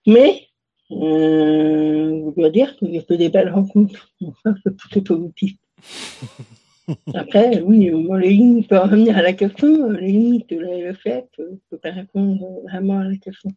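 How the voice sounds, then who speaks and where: A woman's voice: medium pitch, measured pace, warm tone, slightly rough, muffled recording. A young woman answering interview questions casually, hesitant, with many fillers and restarts. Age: young adult. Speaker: interview guest.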